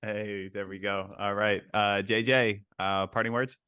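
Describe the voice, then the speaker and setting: Male podcast host, bright forward voice, very dry close sound, casual interviewer. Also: fairly low pitch, fairly even intonation, measured pace, clear, resonant tone, no echo.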